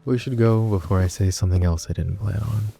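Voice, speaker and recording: A man playing a possessive, protective crime-family husband in an intimate boyfriend roleplay, speaking in a gruff, wry, low-key murmur. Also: brisk, warm tone, soft background music. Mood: guarded, tender.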